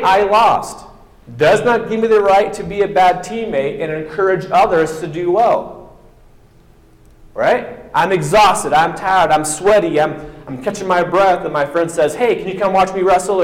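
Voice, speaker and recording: Man preaching in an echoey hall. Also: high voice. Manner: emphatic, acts out quoted voices, rising to near-shouting. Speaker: pastor. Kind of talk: sermon. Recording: echoey hall with long reverb.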